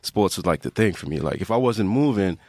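A man's voice: deep voice, expressive, fairly quick, darkish tone. A man from Trinidad, a fitness coach and podcast guest, telling his own story in a casual, reflective, conversational way.

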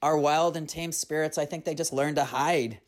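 A storyteller narrating and reflecting on a tale in an earnest, unhurried, reflective way. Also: mid-range voice, fairly even intonation.

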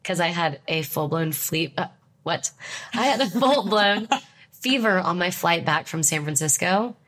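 A woman's voice: fairly low pitch, expressive, a little slow, a bit bright. A young woman with a stuffed-up nose from a cold, co-hosting a podcast in blunt, emphatic casual chat. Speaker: podcast co-host.

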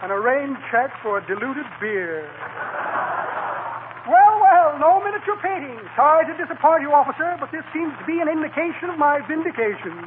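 A man's voice: very high voice, sing-song, moderate pace. An actor playing a pompous, shifty con man in a 1940s radio comedy, with florid, blustering, wordy speech. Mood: breezy bluffing. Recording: noisy, tinny 1940 radio broadcast.

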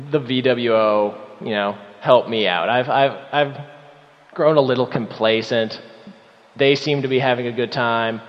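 A man's voice: mid-range voice, close to an everyday voice. Cocky male wrestling heel, acted by a man, sarcastic and menacing promo delivery, dull recording with long reverb. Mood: smug, menacing. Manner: mocking, menacing, halting with repeated words. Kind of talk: rambling wrestling promo with profanity. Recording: dull lo-fi audio in echoey hall.

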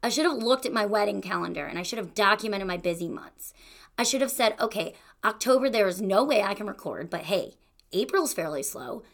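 Young adult woman, podcast host, casual encouraging monologue, gravelly fry in the voice. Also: mid-range voice, lively intonation, brisk, fairly bright tone. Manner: chatty and encouraging, with fillers like "you know" and "like".

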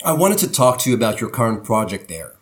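A man's voice: medium pitch, very animated, quick pace, natural voice. A man acting in an audio drama as a showy, insistent interviewer hosting a futuristic broadcast show. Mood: probing, insistent. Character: male sci-fi talk show host, probing and smooth. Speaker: radio show host.

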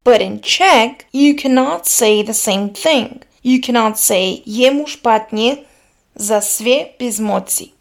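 A woman's voice: on the high side, gravelly. Woman teaching grammar. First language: Serbian. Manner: measured, explanatory, switches into Slavic example phrases. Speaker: podcast host teaching linguistics. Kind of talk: scripted explanatory lesson.

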